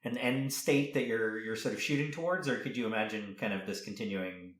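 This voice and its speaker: A man's voice: lowish voice, fairly quick. A man hosting a casual interview, encouraging his guest and phrasing his questions in a loose, tentative way. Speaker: podcast interviewer. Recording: roomy, echoey sound.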